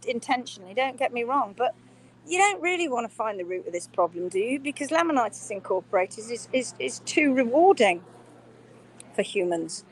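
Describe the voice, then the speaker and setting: A woman hosting a live-streamed hoof-trimming workshop, speaking emphatically and quizzing her students, filmed on a phone indoors. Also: high voice. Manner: emphatic, reads viewer comments aloud.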